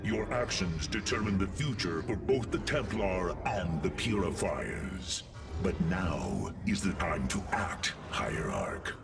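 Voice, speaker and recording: A proud alien machine warrior from a video game, with a very deep voice that rises and falls dramatically. He speaks in grave, formal phrases and sounds set back from the listener, with music playing. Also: expressive, exaggerated voice. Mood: grave, earnest. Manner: grave, formal, deliberate speech. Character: proud, solemn male alien robot warrior. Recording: distant voice under clear music.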